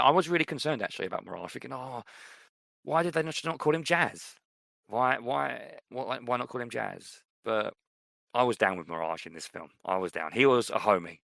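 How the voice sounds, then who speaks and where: A man's voice: lowish voice, creaky, fairly bright tone, no room sound, clean studio sound. A man co-hosting a podcast, chatting casually and rambling with many fillers about films. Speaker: podcast co-host.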